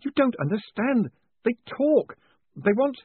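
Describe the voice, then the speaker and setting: A man's voice: medium pitch, very animated, moderate pace, breathy edge, no echo. A man voicing a shaken, protesting con-man in a fantasy audiobook, urgent, faltering and exasperated. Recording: muffled phone-like audio.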